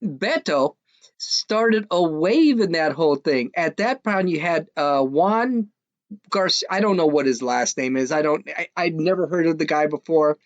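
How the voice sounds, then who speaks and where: A man's voice: on the high side, animated, unhurried, no echo, dull audio. A man hosting a podcast, ranting casually in a mocking, scoffing way, rambling with frequent restarts. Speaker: podcast host. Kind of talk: casual opinionated rant.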